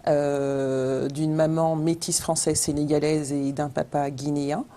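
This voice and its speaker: A woman from France appearing as a guest on a studio debate, speaking hesitantly and filling her pauses with many fillers. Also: low-pitched, flat delivery, dark tone. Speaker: studio guest, business owner.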